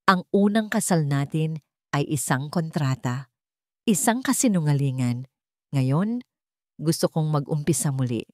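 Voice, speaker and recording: Woman voicing a remorseful, pleading billionaire husband, low-pitched. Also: animated, a little slow, long pauses, warm tone, no room sound, studio quality. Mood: earnest, hopeful. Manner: sincere, earnest pleading. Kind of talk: dramatic Tagalog romance audio story.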